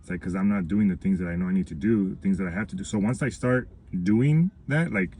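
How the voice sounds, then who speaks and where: Low-voiced man, casual interviewer. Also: fast, a little mellow, husky. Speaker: interviewer, host.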